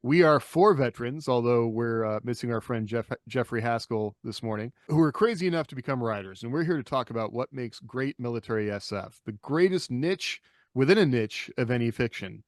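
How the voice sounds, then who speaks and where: A man's voice: medium pitch, lively intonation, a little fast, no echo, clean studio sound. A man hosting a podcast in a casual, informal, anecdotal chat style. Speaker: podcast host.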